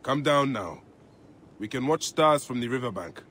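An actor playing a calm, steady male warrior protagonist in a video game who speaks firmly. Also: mid-range voice, noisy background, close to an everyday voice. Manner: calm, firm, measured.

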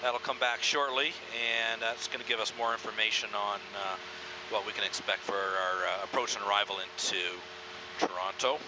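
Airline first officer, cockpit commentary, calm and methodical, headset mic over steady engine hum. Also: male voice, on the low side, moderate pace. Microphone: aviation headset. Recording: engine noise behind.

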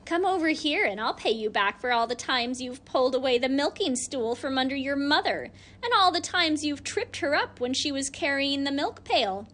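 A woman's voice: very high voice, lively intonation, extremely slow, dark tone, voice pushed up, exaggerated voice. A woman voicing the eldest, angriest cow of the herd, bellowing and scolding. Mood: angry.

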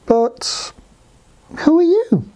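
Woman putting on a slow, grumpy snail. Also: high-pitched, very animated, warm tone, pitched above the performer's own voice, full character voice. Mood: suspicious. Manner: drawling, hesitant, doubtful.

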